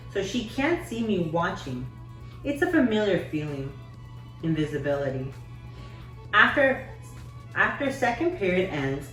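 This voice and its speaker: Teacher read-aloud, woman, lowish voice, steady and measured; echoey room, distant mic. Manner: measured, steady, with occasional slips. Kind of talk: reading a children's novel aloud.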